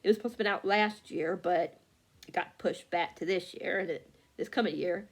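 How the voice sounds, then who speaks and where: A woman book vlogger chatting casually and haltingly, often losing her thread and correcting herself. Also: fairly low pitch, slightly rough. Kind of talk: casual rambling chat about books.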